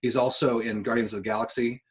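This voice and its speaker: A man's voice: mid-range voice, fairly even intonation, fast. Profane, enthusiastic man chatting on a podcast. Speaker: podcast co-host. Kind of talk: casual podcast chat.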